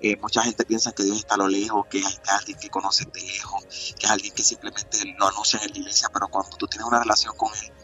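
A man in his twenties with a Puerto Rican accent, a gospel singer talking earnestly about his faith in a remote interview, with pauses and fillers. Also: lowish voice, flat delivery, pushed and bright, soft background music, poor audio. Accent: Puerto Rican Spanish. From Puerto Rico. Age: 20s.

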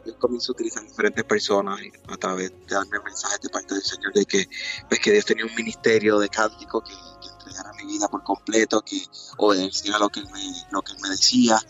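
A man in his twenties with a Puerto Rican accent, a gospel singer talking earnestly about his faith in a remote interview, with pauses and fillers. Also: on the low side, level tone, a bit bright, dull audio, soft background music. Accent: Puerto Rican Spanish. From Puerto Rico. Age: 20s.

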